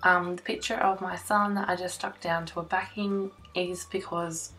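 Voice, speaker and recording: Croaky-voiced woman chatting over crafting. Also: on the low side, little pitch movement, normal speed, fairly bright tone, faint music behind, rough recording. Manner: chatty, self-conscious, with frequent ums. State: croaky voice after laryngitis. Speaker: scrapbooking vlogger. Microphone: voice-over recorded separately from the filmed table.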